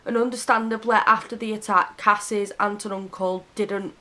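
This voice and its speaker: Hoarse woman telling a crime story. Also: mid-range voice, steady tone, moderate pace, sharp tone. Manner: earnest, heartfelt. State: hoarse and sniffly from a cold. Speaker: true crime YouTuber. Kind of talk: casual true crime narration to camera.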